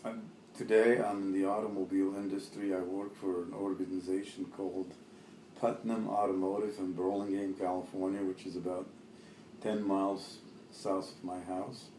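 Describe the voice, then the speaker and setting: A Lebanese-born man in his fifties, Arabic his first language, answering an oral history interview in a halting way with many fillers and restarts. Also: low voice, flat delivery, a little mellow, roomy sound, slight background noise, low-quality recording.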